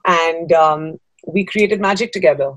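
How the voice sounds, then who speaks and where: Lower-pitched woman in an interview. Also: unhurried, dry recording, low-quality recording. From Dubai. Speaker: guest, fashion designer. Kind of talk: conversational interview answers. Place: small room at home. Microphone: video call.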